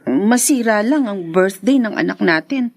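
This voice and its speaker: Young mother, radio drama, gentle, worried, hesitant pleading, mid-pitched voice. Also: lively intonation, a little slow. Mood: anxious. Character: gentle but anxious young wife and mother.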